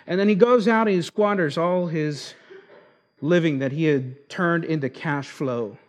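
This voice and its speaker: A man with Argentine roots preaching an expository sermon, slowing down to stress key words. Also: on the high side. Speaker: pastor.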